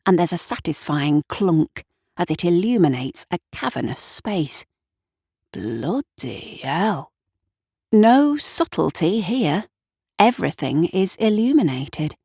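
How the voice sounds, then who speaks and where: A woman narrating scripted fiction in the present tense with expressive, deliberate storytelling. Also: medium pitch, no room sound, clean studio sound.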